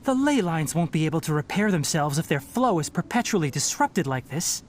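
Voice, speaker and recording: An actor playing a calm young male forest ranger and scholar in a video game, speaking in a measured, earnest, patient way. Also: fairly high pitch, heavy background noise. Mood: focused.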